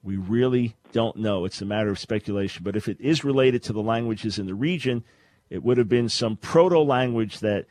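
A man's voice: fairly low pitch, brisk, darkish tone. A man hosting a call-in radio show, explaining Bible languages in a scholarly way with frequent restarts. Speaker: radio talk show host.

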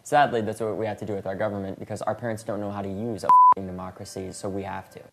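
A young man being interviewed, talking quickly and casually, in a played-back clip with bleeped words. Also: lowish voice, huge pitch swings. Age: young adult. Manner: rapid, casual, profanity bleeped. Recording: loud noisy clip with bleeps.

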